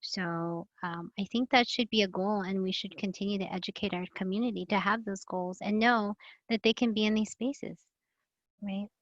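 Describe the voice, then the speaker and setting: A woman's voice: medium pitch, steady tone, normal speed, dry recording. A woman from the Philippines, a health and safety expert on a live online panel, speaking earnestly and reflectively with many fillers. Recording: dull lo-fi video call audio.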